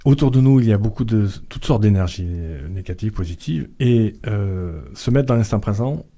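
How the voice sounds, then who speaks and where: A middle-aged man guest on a radio interview, talking slowly and hesitantly with many fillers and restarts. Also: on the low side, lively intonation, dark tone. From Vietnamese family background. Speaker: author and guest.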